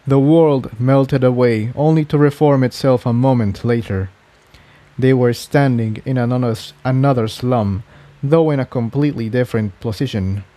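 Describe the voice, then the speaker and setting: A man's voice: medium pitch, moderate pace, dark tone, no room sound. A man narrating a scripted adventure story as an audiobook-style reading. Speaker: fan fiction narrator.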